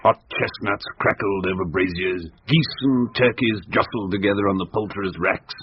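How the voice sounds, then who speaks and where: An actor playing an agitated older upper-class English gentleman with florid, pompous diction in a comic gothic radio drama. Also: on the low side, moderate pace, slightly rough, dry recording. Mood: grave storytelling. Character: agitated older Victorian gentleman recounting his haunted obsession with cakes. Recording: muffled, noisy old radio recording.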